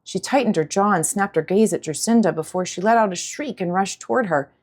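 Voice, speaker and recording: A woman's voice: mid-range voice, fairly quick, darkish tone. A woman audiobook narrator reading a scripted story in a dramatic style.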